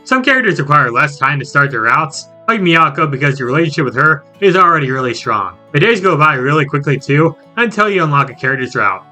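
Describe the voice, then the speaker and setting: A man's voice: fairly high pitch, bright, forceful tone. A man narrating a scripted video game review in an enthusiastic, jokey and exclamatory manner. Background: music under the voice.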